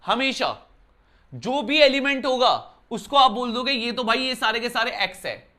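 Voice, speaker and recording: Bright-voiced man teaching a maths lesson. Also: extremely high pitch, animated, a little slow. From Uttarakhand, India. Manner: conversational, keeps asking "clear, brother?". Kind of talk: classroom lesson explanation. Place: indoor room.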